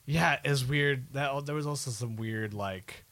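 A man's voice: mid-range voice, a little slow, a bit bright, no echo. A man co-hosting a podcast, chatting informally and a little jokily about films and games. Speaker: podcast co-host.

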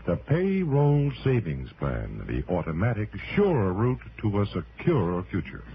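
A man's voice: lowish voice, huge pitch swings, unhurried. A man announcing a scripted public service message in the style of 1960s radio. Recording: dull old radio recording, faint hiss.

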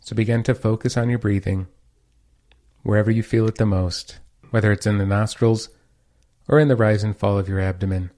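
A man leading a guided meditation as teacher, speaking in a calm, soothing, unhurried manner. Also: on the low side.

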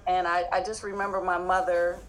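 A woman's voice: on the low side, little pitch movement, brisk, low-quality recording. A woman from New Jersey with a slight Southern twang, a guest telling her story in an earnest, open way. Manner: earnest, open, laughs easily. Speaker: guest, educator and author. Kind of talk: interview storytelling.

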